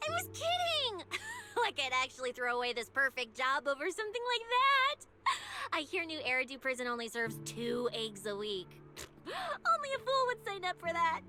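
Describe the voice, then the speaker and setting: A cocky young snake-woman case specialist, with a playful, bossy way of teasing. Her voice sits high and bright, and it bounces all over. Faint game music plays behind her. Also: heavily acted.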